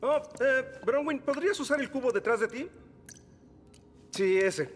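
A man's voice: very high voice, animated, a little slow, a little hiss or hum. A man with a Latin American Spanish accent voicing a cheerful, bumbling cartoon handyman who chats and hums to himself as he works. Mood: friendly. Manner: chatty, talks to himself, hums while working. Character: cheerful bumbling handyman Mike, middle-aged. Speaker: cartoon character. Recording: studio dub under loud music. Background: loud background music.

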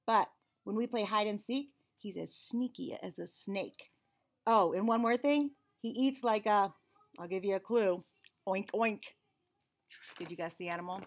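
A woman's voice: mid-range voice, unhurried. An American woman in her forties reads a picture book aloud to young children, expressively, with friendly teaching asides. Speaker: read-aloud host and teacher. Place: small indoor room with shelves and cabinets.

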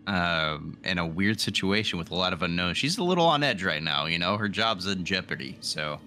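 A man's voice: low-pitched, big pitch swings, fairly quick, sharp tone, soft background music. A man from the United States chatting casually as a player in a tabletop game. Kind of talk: casual chat.

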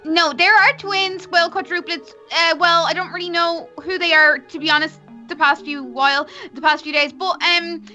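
A woman's voice: very high-pitched, fairly even intonation, moderate pace, few pauses, sharp tone, lo-fi sound, soft background music, full character voice. A woman playing a fretful, dramatic young princess sister in a children's game roleplay. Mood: worried. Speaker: roleplay channel performer.

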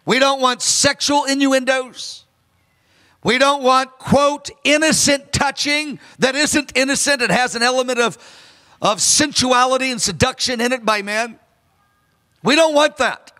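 High, bright-voiced preacher in a big hall. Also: male voice, measured pace. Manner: earnest, emphatic preaching with hand gestures. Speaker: pastor. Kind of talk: sermon. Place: large church stage. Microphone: handheld microphone. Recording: long reverb of a large hall.

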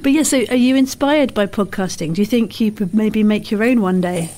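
A woman's voice: medium pitch, fairly quick, mellow, a little hiss or hum. A woman interviewing children in a casual, enthusiastic and encouraging way for a podcast.

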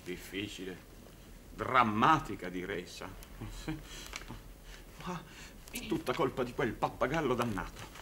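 A middle-aged man, a downtrodden, excitable circus clown in an old TV drama. His forceful, bright voice stumbles and repeats theatrically, proud and bitter, over faint soundtrack noise. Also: mid-range voice, a little slow, pauses often. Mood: dejected. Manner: stammering, repetitive, theatrical. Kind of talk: 1960s Italian television drama acting. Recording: noisy old television soundtrack.

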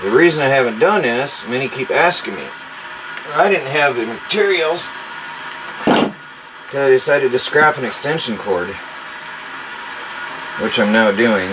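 A man's voice: medium pitch, animated, a little slow, long pauses. A man in his forties giving a casual hands-on DIY vlog demonstration, talking half to himself in short phrases as he works. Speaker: DIY homestead vlogger. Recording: noisy amateur camera sound with clicks.

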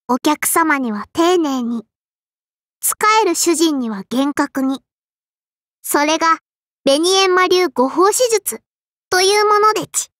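Tiny high-pitched lisping sparrow girl. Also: slow, long pauses, fairly bright tone, no echo, clean studio sound, exaggerated voice. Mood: prim, admonishing. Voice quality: childlike, small lisping voice. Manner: formal yet cutesy, chirping verbal tics. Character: small sparrow girl, strict, dutiful, kindly hell warden. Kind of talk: Japanese anime game character lines.

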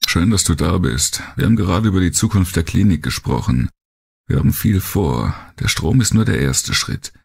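A man voicing a hopeful, determined male leader of survivors in an audiobook, earnest and gravelly, in short phrases. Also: sits low, expressive, normal speed, pitched above the performer's own voice, exaggerated voice.

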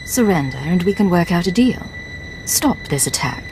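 An actress playing a cool, commanding imperial secret agent in a video game, curt and stern. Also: female voice, fairly low pitch, animated, moderate pace, background noise. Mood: cold, stern.

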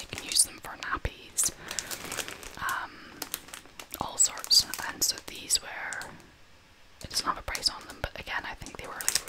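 A young adult woman speaks entirely in a whisper. She chats informally and takes her time, in the manner of an ASMR vlogger showing things she has bought. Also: poor audio. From half Scottish, half American. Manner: unhurried, informal, chatty. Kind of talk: whispered ASMR shopping haul.

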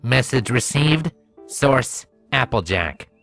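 An earnest robot rover from a storybook. It talks in broken, machine-like bursts with a gravelly creak, over faint music. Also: male voice, mid-range voice, lively intonation, slow, fairly bright tone, exaggerated voice. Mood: matter-of-fact. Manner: clipped, broken machine-like phrases.

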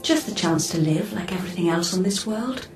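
A woman in a radio drama playing a calm, questioning artificial intelligence that yearns to live, speaking softly and with measured gentleness. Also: on the low side, natural voice. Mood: gentle. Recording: echoey, distant radio drama sound. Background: music.

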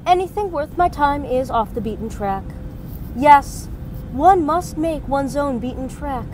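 A pompous rich husband. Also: female voice, sits high, lively intonation, measured pace, darkish tone, a little hiss or hum. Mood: grandiose. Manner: pompous, grandiose. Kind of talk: radio play acting.

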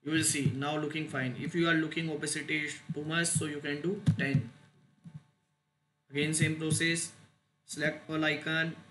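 A man giving a step-by-step software tutorial in short, halting phrases with non-native phrasing. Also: a little high, flat delivery, soft background music. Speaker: tutorial instructor. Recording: roomy echo, loud noise, clicks.

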